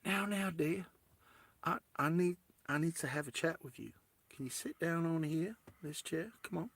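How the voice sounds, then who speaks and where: A man playing a gentle, apologetic boyfriend who talks in a soft, coaxing, halting way. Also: a little high, dry recording.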